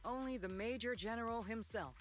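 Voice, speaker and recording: An actress voicing a composed, analytical military colonel in a game, measured and serious. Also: female voice, fairly high pitch, soft background music, close to an everyday voice. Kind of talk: game story voice acting.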